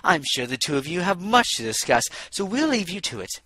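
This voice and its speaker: A man's voice: high-pitched, sing-song, fairly quick, sharp tone, breathy edge, dry recording, pitched above the performer's own voice, heavily acted. A man voicing an elegant, theatrical female unicorn socialite with an affected, genteel manner. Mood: gracious, breezy.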